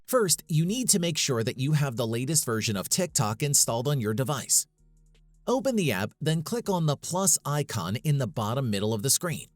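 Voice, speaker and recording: A man's voice: medium pitch, very animated, normal speed, dry recording. A man reading a scripted tutorial as its host, in an instructional, step-by-step manner. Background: background music.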